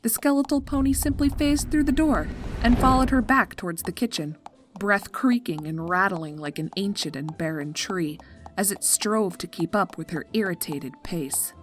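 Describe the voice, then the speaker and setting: A woman narrating scripted fiction in an expressive, measured, drily comic storytelling style. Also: medium pitch, a little hiss or hum. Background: music.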